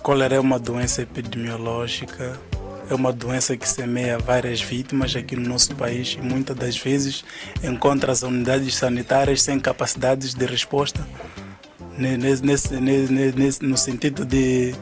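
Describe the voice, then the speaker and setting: Male interviewee, flat intonation, earnest explanation, music behind. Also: mid-range voice, normal speed.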